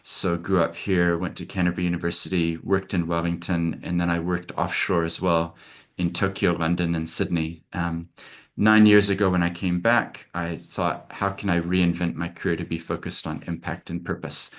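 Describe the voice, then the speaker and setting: A man's voice: low voice, flat delivery, moderate pace. A middle-aged man from America, a lawyer hosting a webinar over a video call, talking in an earnest, open, conversational way.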